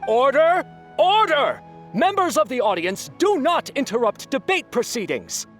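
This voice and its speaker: Formal game debate judge; very animated delivery over soft music. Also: high-pitched, unhurried. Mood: stern. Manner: formal and officious. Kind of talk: video game voice acting.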